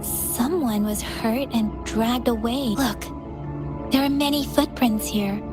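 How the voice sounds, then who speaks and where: A high, soft young female voice for a video game heroine. She is gentle and earnest, sensitive to sorrow and a little hesitant as she speaks. Music plays clearly behind her. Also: slow pace. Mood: calm, watchful. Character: gentle, earnest young woman who senses others' sorrow. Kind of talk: scripted game dialogue acting.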